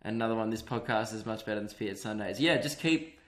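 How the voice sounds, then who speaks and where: A man hosting a podcast with a high-pitched voice, chatting casually, joking and swearing as he reads out listener reviews. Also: fast, echoey room. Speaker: podcast host. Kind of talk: casual chat.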